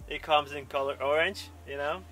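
A young man, a pro water skier, answering interview questions outdoors on a lakeside dock, halting and searching for words. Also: on the high side, fairly even intonation, pushed and bright, soft background music. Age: young adult. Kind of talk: casual interview.

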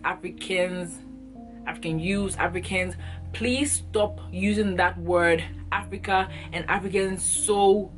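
A young woman from Ghana, talking to the camera in an earnest, emphatic way and repeating herself for stress, recorded close up in a small room. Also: mid-range voice. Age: young adult. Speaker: vlogger. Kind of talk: passionate direct-to-camera monologue. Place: small indoor room, plain wall. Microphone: camera in front at close range.

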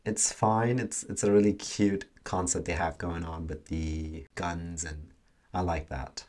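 Young guy with a low voice doing a chill reaction video, just chatting to viewers. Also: a little mellow. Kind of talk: casual reaction commentary.

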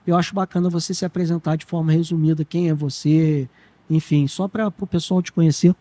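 A man's voice: fairly high pitch, steady tone, fairly quick, dark tone, no echo. A man from Minas Gerais hosting a podcast, chatty and joking as he questions his guest in casual talk. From Minas Gerais, Brazil. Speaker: podcast host. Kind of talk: casual interview chat.

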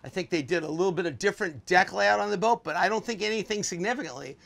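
A man's voice: high-pitched, fast, vocal fry, a bit bright. A man in his sixties giving a casual, offhand, opinionated tour as a video host, recorded inside a small boat cabin.

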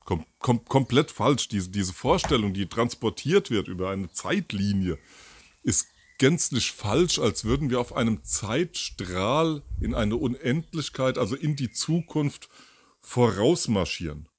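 A man's voice: medium pitch, lively intonation, a little slow, breathy edge, no echo. Middle-aged guy rambling outside in his garden, lots of ums, dry close sound. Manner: rambling, many fillers and self-corrections. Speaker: host of a commentary show. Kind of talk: casual solo commentary. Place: outdoors by a garden fence. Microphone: furry windscreen mic near camera.

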